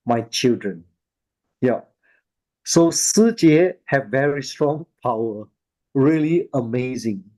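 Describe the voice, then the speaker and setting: A man with a Malaysian accent giving an informal talk with personal anecdotes, in halting, repetitive English phrasing. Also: medium pitch, dark tone, low-quality recording. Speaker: volunteer speaker at an online Buddhist charity talk.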